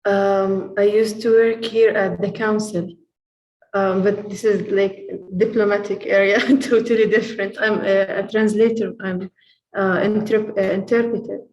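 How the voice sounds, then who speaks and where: A woman's voice: mid-range voice, level tone, measured pace, a little mellow. A woman chatting casually on a video call, polite and a little hesitant in how she talks. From born in the United States, of Jordanian descent. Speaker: medical education center staff, former interpreter. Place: indoor room, on a video call. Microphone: computer video call microphone. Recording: roomy, echoing video call audio.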